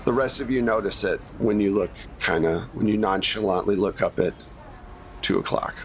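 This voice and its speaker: A man's voice: fairly low pitch, normal speed, a little hiss or hum. A man running a tabletop game as game master, narrating scenes off the cuff in a casual, conversational way.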